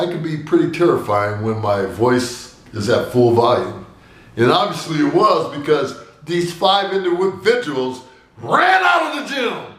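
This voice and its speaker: Older man, booming voice, animated storyteller, slightly high voice, echoey room. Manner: animated storytelling with big gestures. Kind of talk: personal sermon to camera. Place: small room indoors.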